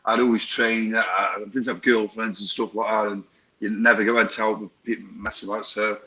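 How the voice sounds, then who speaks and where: A man's voice: lowish voice, level tone, a little fast, sharp tone, muffled recording. A man, a boxing promoter appearing as a guest, telling his story informally with many restarts. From Stoke-on-Trent area, England.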